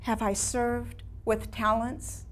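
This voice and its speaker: A woman in her sixties playing an ailing, reflective elderly woman painter in a staged dramatic monologue, with theatrical, measured delivery and long pauses. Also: on the high side, flat delivery. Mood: weary, anguished. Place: small indoor room before a seated audience.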